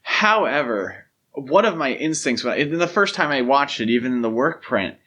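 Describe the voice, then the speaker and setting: A man's voice: medium pitch, animated, normal speed, sharp tone. A man co-hosting a podcast, discussing film casually in an analytical, explanatory way. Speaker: podcast co-host.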